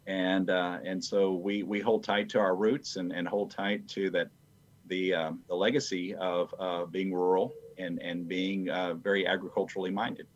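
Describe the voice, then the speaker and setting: Male American panel guest, deep voice, reflective and measured, pausing often, on slightly muffled audio. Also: level tone. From rural south Texas. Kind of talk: reflective family storytelling.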